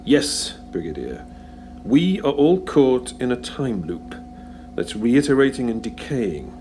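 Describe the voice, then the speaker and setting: An actor playing a wry, reflective time-travelling hero in an audio drama, speaking conversationally and articulately. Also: male voice, mid-range voice, sing-song, unhurried, dark tone, a little hiss or hum. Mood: explanatory.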